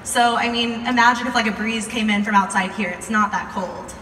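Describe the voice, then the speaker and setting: A woman's voice: on the high side, fairly even intonation, fairly quick, fairly bright tone. A young American woman tells a story casually to a live audience, chatty and informal, recorded from a distance in a large room. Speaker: video creator telling a story to a live audience. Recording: echoey hall, distant mic, some noise.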